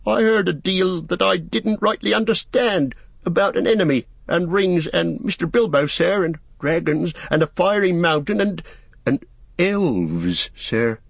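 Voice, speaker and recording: A man's voice: a little high, expressive, measured pace, gravelly, husky, no echo, voice pushed up, exaggerated voice. A man voicing a simple-hearted young male hobbit gardener from a storybook, deferential, rustic and pleading. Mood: earnest, eager.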